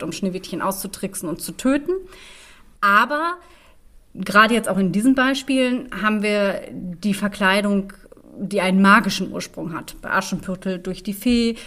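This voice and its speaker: A woman's voice: mid-range voice, fairly bright tone. A woman co-hosting a podcast, explaining fairy tales in a conversational way. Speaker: podcast co-host.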